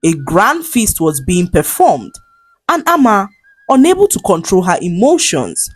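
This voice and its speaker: A woman with a Nigerian accent narrating a scripted folktale in a dramatic, expressive way. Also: on the low side, unhurried, husky, faint music behind. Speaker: storyteller.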